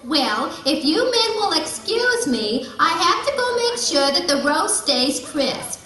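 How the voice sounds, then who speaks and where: A woman's voice: very high voice, sing-song, slow pace, sharp tone, heavily acted. A woman voicing a sweet, doting housewife puppet in a comedy skit, with a sugary, theatrical manner. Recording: very echoey room, distant mic.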